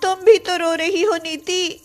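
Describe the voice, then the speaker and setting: Young schoolgirl played by a woman, very high voice, emotional, cartoon moral tale with music underneath. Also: fairly even intonation, unhurried, a little mellow. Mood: sad, worried. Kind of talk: acted Hindi moral cartoon story.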